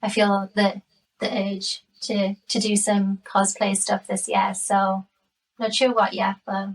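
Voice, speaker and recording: A woman in her late thirties with a Geordie accent, chatting casually and readily. Her pitch stays fairly even, and she sounds a little distant from the microphone. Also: slow. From Newcastle area, England. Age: late 30s. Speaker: podcast guest. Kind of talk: casual chat.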